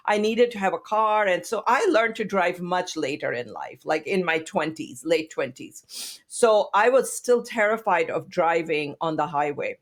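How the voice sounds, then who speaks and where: A woman's voice: lowish voice, a little slow. A woman in her fifties from India, telling stories animatedly as a guest therapist on a casual podcast. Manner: animated storyteller, chatty and expressive.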